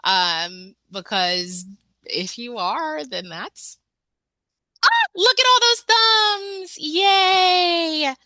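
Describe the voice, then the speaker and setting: A woman's voice: sits high, animated, very slow, bright, forceful tone, no room sound, studio quality. A woman hosting an online library webinar over a video call, chatty and casual, full of fillers and restarts. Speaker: webinar host.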